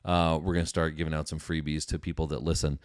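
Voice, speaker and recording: A middle-aged American man with a low voice and a dry, close sound. He chats informally as a podcast host, enthusiastic and full of casual slang. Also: flat delivery, fast talker, no room sound. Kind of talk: casual solo livestream chat.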